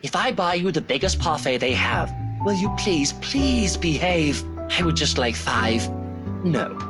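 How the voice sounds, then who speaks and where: A woman's voice: low-pitched, big pitch swings, unhurried. A woman playing an exasperated, sardonic adult minding a small child in a visual novel, snide and theatrical with sugary pet names. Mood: exasperated, coaxing. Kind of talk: visual novel character acting. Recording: music under the voice.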